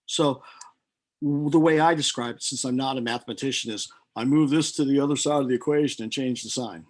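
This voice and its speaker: A man giving an informal, deliberate lecture as a chemistry teacher, working through equations step by step. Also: medium pitch.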